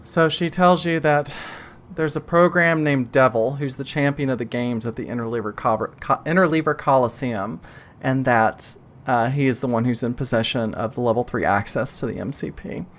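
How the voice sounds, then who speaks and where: Man calmly running a tabletop game. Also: medium pitch, normal speed. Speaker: game master. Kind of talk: improvised tabletop game narration and explanation.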